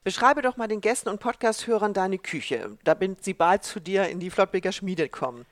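A podcast host reading prepared interview questions and offering warm compliments. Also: mid-range voice, expressive, moderate pace, no echo.

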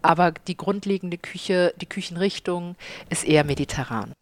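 A woman in her thirties with a Hamburg accent, a chef and restaurant owner answering interview questions with lively enthusiasm. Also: lowish voice, moderate pace, no room sound. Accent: Hamburg German. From Hamburg, Germany.

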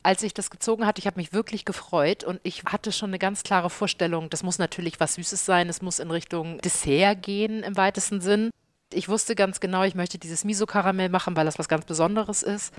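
Lively chef, slightly low woman. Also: a little fast, no room sound. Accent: Hamburg German. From Hamburg, Germany. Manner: lively, enthusiastic storyteller. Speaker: chef and restaurant owner. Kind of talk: casual interview answers.

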